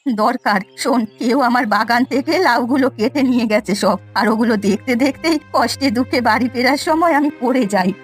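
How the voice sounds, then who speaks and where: Cartoon granny played by a woman: old, plaintive, repentant village miser, higher pitch, light music underneath. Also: a little fast, husky. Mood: pleading. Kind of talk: Bengali cartoon story voice acting.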